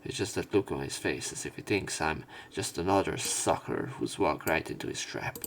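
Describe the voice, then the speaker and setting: Low, gravelly man reading a story. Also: a little hiss or hum. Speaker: let's player narrating a visual novel. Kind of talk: reading narration aloud, scripted narration.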